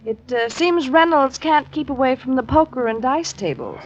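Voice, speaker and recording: A woman's voice: high-pitched, normal speed, natural voice. An actress playing a cool, poised woman confiding in a man in a 1950s radio drama. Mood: cool, intimate. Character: cool, poised female confidante bringing a tip. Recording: dull, hissy old radio recording.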